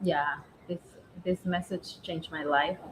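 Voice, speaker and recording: Female guest from Nicaragua, Spanish as first language, low pitch, earnest, repetitive, conversational, background noise. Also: steady tone, takes it slowly, low-quality recording. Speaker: guest, recovery retreat team member. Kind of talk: casual heartfelt chat.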